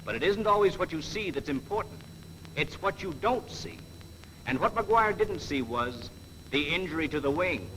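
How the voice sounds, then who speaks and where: A man's voice: a little high, lively intonation, moderate pace, slight background noise, poor audio. A man playing a wisecracking, folksy narrator in a 1940s training film, telling cautionary tales with slangy, wry, theatrical delivery. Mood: wry, admonishing. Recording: old 1940s film soundtrack, loud music behind.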